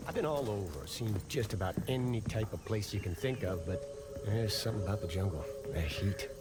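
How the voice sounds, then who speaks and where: A man's voice: on the low side, animated, brisk, very noisy, lightly acted. Man playing a roguish, swooping-voiced cowboy adventurer. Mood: friendly, charming. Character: roguish, charming, easygoing cowboy-like male adventurer. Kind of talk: video game cutscene dialogue.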